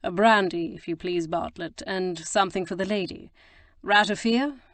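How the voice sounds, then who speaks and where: A woman's voice: fairly low pitch, moderate pace, fairly bright tone, no echo, lightly acted. A woman voicing a sardonic, amused aristocratic man with a dry, languid, teasing manner in an audiobook. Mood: dryly amused. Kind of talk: audiobook character reading.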